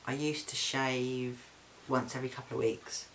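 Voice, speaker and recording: Young man, monotone, mid pitch, chatty and halting with ums, home video diary, faint hiss. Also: creaky, poor audio. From England. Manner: chatty, frequent ums and restarts. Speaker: vlogger. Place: flat, indoors.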